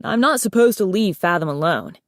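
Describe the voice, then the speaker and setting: A woman voicing an obedient, slow-witted male dragon guard in an audiobook, simple and mildly puzzled. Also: medium pitch, expressive, dry recording, clean studio sound, close to an everyday voice. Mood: dutiful.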